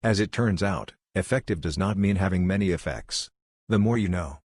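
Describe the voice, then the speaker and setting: A man reading online forum posts aloud in a plain, even manner. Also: low-pitched, normal speed, breathy edge, dry recording, studio quality.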